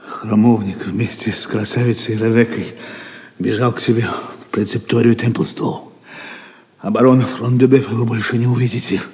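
A man's voice: mid-range voice, flat delivery, moderate pace, a little mellow, slight background noise, lightly acted. An actor playing a grave, honourable knight reporting to his prince in an audio drama. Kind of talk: theatrical audio drama acting. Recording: long reverberant hall sound.

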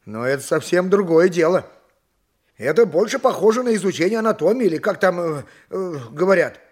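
An actor playing a stubborn, pious, excitable donkey driver, a working-class husband, in a theatrical audio play. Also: male voice, high-pitched, brisk. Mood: stubborn, doubtful. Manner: theatrical, indignant, chuckling cunningly. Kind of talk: audio play stage acting.

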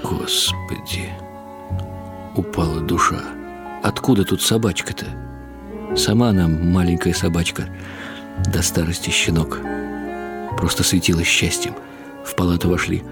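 An actor playing a wry, reflective elderly husband who narrates in the first person in short clipped phrases for a radio drama. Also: male voice, low-pitched, fairly even intonation, measured pace, pauses often, over music. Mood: tender, anxious.

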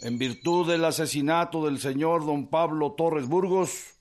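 Radio drama: male actor as formal rebel officer, slow declamatory delivery, slightly high voice. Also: a little mellow, slight background noise, natural voice. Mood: solemn. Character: solemn rebel officer reading a proclamation to comrades.

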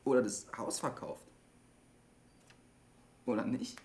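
An actor in his twenties playing a withdrawn, troubled young man in a naturalistic radio drama, speaking in halting, repeating sentences. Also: medium pitch, steady tone, darkish tone, natural voice. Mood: subdued, grieving. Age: 20s. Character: troubled, withdrawn 25-year-old man, a lonely CB radio hobbyist.

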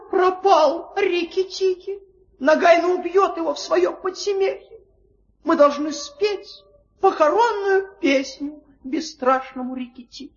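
A tiny garden creature, extremely high-pitched and plaintive. It is an anxious onlooker who exclaims theatrically, as on a children's story record. The sound is dull and dated. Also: female voice. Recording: dull old vinyl record.

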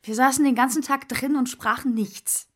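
An actress playing an unhappy, stifled woman in a German radio play, with a husky voice. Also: sits high, steady tone, moderate pace, a bit bright. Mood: strained, weary. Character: unhappy, stifled woman wanting to leave her partner.

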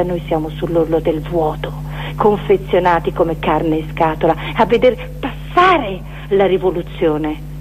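A woman giving a dramatic recitation of a poetic manifesto, with emphatic, deliberate phrasing. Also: lowish voice, darkish tone, a little hiss or hum, poor audio. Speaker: performer reciting poetry.

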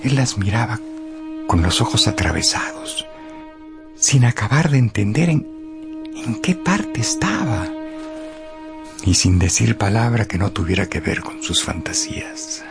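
A man's voice: fairly low pitch, sing-song, measured pace, pauses often, over music. A man narrating a literary radio play in a theatrical way, repeating words for emphasis.

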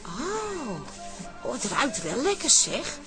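A high-voiced wife, homely and naive, in a radio comedy. She swoops up and down with little exclamations, easily impressed and quick to fret. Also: wildly swooping pitch, music in the background, heavily acted. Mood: impressed, curious. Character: Toos, chatty, fretful, easily impressed working-class wife. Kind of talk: Dutch radio comedy drama acting.

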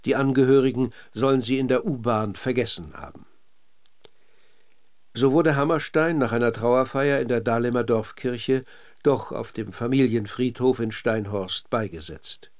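A man narrating scripted documentary radio in a measured, factual manner. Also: mid-range voice, darkish tone.

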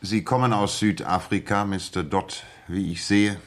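An actor in a 1960s German radio play as a precise, coolly superior detective, measured, pedantic and dry. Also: male voice, deep voice, steady tone, natural voice. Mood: precise, self-assured. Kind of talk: 1960s German radio play acting and first-person narration.

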